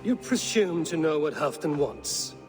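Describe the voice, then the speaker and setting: Brief: a firm, blunt Viking hero, slightly high voice, faint music. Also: male voice, animated, measured pace.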